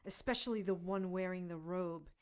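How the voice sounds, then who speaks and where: A woman's voice: on the low side, normal speed, natural voice. An actress playing a brash, defiant captive witch in an audio drama, sassy and emphatic. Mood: concerned, indignant. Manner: emphatic, hot-headed, sassy.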